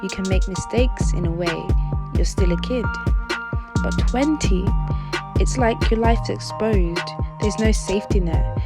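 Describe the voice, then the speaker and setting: A woman's voice: mid-range voice, unhurried. A woman, talking reflectively as a personal vlogger. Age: early 20s. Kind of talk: personal reflective vlog talk. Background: prominent background music.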